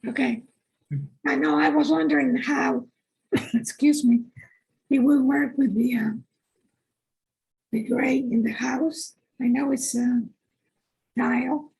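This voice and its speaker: A hoarse woman asking questions as a board member at an informal review meeting, in a halting, polite manner. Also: sits high, steady tone, a little mellow, poor audio.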